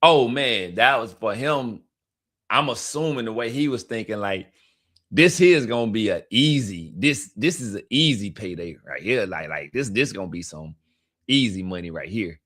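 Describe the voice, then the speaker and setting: Brief: man, low, heavy and loud voice, emphatic live-stream storyteller. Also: expressive, normal speed. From United States. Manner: emphatic, repetitive, preacher-like urging. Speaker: travel vlogger telling a story. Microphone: streaming mic.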